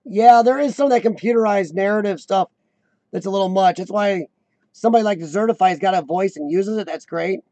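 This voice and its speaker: A man in his forties chats casually with viewers in a high, squeaky voice that is rough and gravelly from years of coughing. His tone is dark and mellow despite the pitch, he keeps clearing his throat, and the sound is close and dry. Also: no room sound. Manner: clears throat often, halting restarts. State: voice worn from lung illness and coughing. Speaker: host of a live chat show. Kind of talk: casual live-stream chat reading viewer comments.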